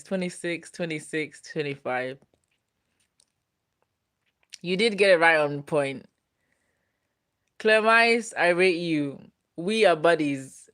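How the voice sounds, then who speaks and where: A woman in her twenties hosting a casual livestream, reading viewer comments aloud in a playful, teasing way and laughing often. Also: low voice. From Ghana. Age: 20s. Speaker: livestream host.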